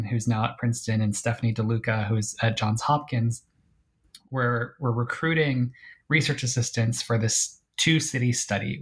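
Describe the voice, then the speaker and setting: A man's voice: medium pitch, vocal fry. Male author guest, mid-range voice, reflective and self-correcting. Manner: thoughtful, with frequent restarts and hedges. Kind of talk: academic interview.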